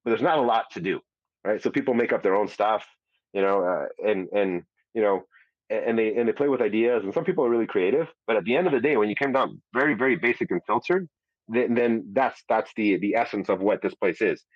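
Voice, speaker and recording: Fast-talking low-voiced man, profane and rambling. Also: no room sound, dull audio. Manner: rambling, profane, frequent restarts. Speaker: host. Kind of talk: casual live audio show chat. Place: audio chat room.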